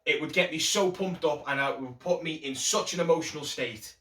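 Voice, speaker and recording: A man performing a stage monologue as a grieving friend, earnest and dramatic, with drawn-out emphasis. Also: a little high, a bit bright, pitched above the performer's own voice, close to an everyday voice. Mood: anguished regret. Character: grieving friend mourning a talented musician who gave up. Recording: roomy echo, distant microphone.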